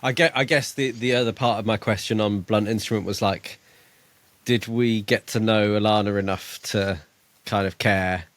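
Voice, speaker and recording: A man with a fairly low voice co-hosts a casual book-discussion podcast. He speaks in a relaxed way, laughs easily and asks his co-host questions. The recording is dry, with no room sound. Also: moderate pace, no echo.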